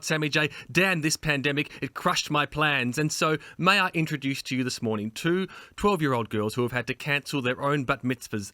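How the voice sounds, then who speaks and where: A man's voice: a little high, pushed and bright, no room sound. A man with an Australian accent hosting a playful chat with guests in a jokey, chirpy manner. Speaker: show host.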